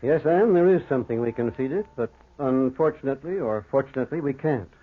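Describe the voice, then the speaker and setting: Tinny vintage radio actor as an earnest doctor. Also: male voice, mid-range voice, animated, fast, slight background noise, lightly acted. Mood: grave. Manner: precise, formal, grave. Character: earnest, educated male doctor recounting a horror. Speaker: actor and first-person narrator. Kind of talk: old-time radio horror drama acting.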